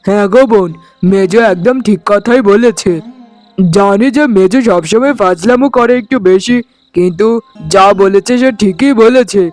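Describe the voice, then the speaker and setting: A man's voice: high voice, normal speed, a little mellow, faint music behind, close to an everyday voice. A man voicing an earnest village fish-farming brother in a cartoon family drama, talking emphatically. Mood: proud, cheerful.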